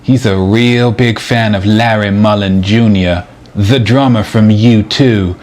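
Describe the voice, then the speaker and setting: A man's voice: fairly low pitch, slow, a little mellow. A man playing a flustered, naive guy caught two-timing on a date, in a comedic dramatization. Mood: earnest. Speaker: actor in a sitcom-style dramatization. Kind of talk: acted comedic date dialogue.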